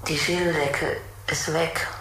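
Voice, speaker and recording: Withdrawn haunted captive, lowish voice, slow terse fragments, hesitant, radio drama. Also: female voice, fairly even intonation, a bit bright. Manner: terse, hesitant, broken phrases. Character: withdrawn, worn-down captive woman, evasive, haunted. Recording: very echoey, noisy ambient room.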